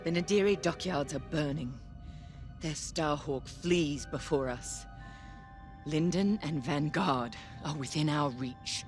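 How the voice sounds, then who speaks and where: An actress playing a cold, vengeful female military commander in a video game, speaking in a measured, menacing, formal way. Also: sits low. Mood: gloating. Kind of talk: dramatic video game mission briefing. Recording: loud music behind.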